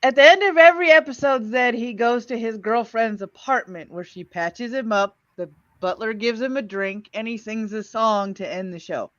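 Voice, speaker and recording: A woman's voice: fairly high pitch, normal speed, few pauses, lo-fi sound. A woman casually hosting a livestream in a playful, chatty way. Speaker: host of an old-time radio heckling stream.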